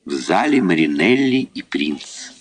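Male narrator, very low voice, reading stage scenes for a vintage 1950s radio drama. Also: very animated, a little slow.

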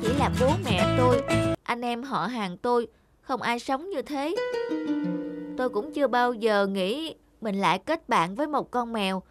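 A woman's voice: a little high, lively intonation, measured pace. A woman voicing a small, timid, earnest cartoon mouse with a hesitant, pleading manner. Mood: wistful. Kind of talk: animated moral fable dubbing. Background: music.

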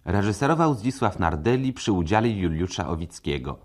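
Low-voiced male radio announcer. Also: sing-song, fairly quick. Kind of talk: formal scripted credits announcement.

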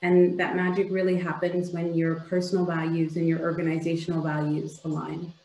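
A woman's voice: on the low side, completely flat delivery, a little fast, dark tone, reverberant, a little hiss or hum, low-quality recording. A woman from Ontario with a Canadian accent, giving a polished, upbeat keynote over a video call. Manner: polished, upbeat presenting with occasional fillers. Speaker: keynote speaker.

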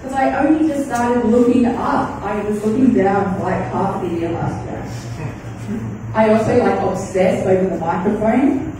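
A woman's voice: medium pitch, noisy background. A woman doing a rambling, crude, self-deprecating stand-up set, often laughing at her own jokes. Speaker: stand-up comedian. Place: small comedy club stage. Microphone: handheld stage mic, filmed from the audience. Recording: distant, echoey club room with faint ambience.

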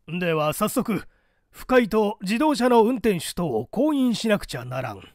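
A man's voice: high voice, very animated, unhurried, no echo, studio quality, voice pushed up. A man voicing an agitated, authoritative police section chief, blunt and tense, in a dramatized audiobook.

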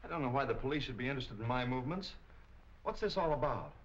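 An anguished young murder suspect acted by a man in a 1940s crime picture. He is earnest, halting and defensive, in a mid-pitched voice. The old soundtrack is muffled. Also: lively intonation, lightly acted. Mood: guarded. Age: young adult. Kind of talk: 1940s crime film acting.

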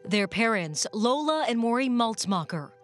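A woman delivers a local news feature in a measured, polished way. Her voice sits fairly high, and soft music plays under the narration. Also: big pitch swings. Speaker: news reporter. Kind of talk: scripted news report.

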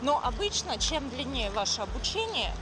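A woman's voice: high voice, big pitch swings, moderate pace, over music. A young woman chatting informatively and casually while walking outdoors along city streets. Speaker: language school representative. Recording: noisy outdoor street sound. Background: street ambience.

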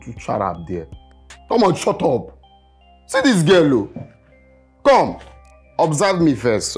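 A man's voice: mid-range voice, slightly rough. An actor playing a volatile, boastful male kidnapper and would-be musician, with a Nigerian accent and a scolding, repetitive way of talking. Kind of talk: film acting, speaking Nigerian Pidgin.

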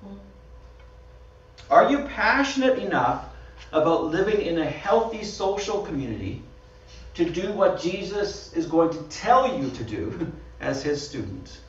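A man's voice: fairly high pitch, sing-song, measured pace. A man with a Canadian accent, a pastor giving a conversational sermon with slides, laughing at himself often. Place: small church hall. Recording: dull, roomy sound from far off.

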